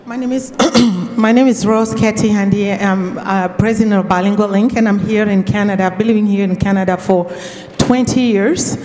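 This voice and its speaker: A woman's voice: mid-range voice, fairly even intonation, warm tone. A woman from Cameroon in the audience, asking a long, earnest question with frequent halts and restarts. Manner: earnest, halting, restarts often. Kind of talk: conference question. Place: large conference hall. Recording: echoey conference hall.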